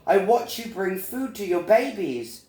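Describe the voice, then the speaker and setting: A man's voice: high voice, very animated, measured pace, mellow, roomy sound, voice pushed up. A man voicing a small, young female bee in a storybook, polite and earnest in a pleading way. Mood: lost, pleading.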